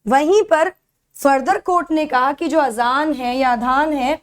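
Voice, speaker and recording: A woman's voice: high voice, takes it slowly. A woman with an Indian accent giving an explanatory lecture as a teacher, in an instructional way, mixing in English and checking that listeners follow. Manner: instructional, checks understanding with short tag questions. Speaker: educator for civil service exam courses.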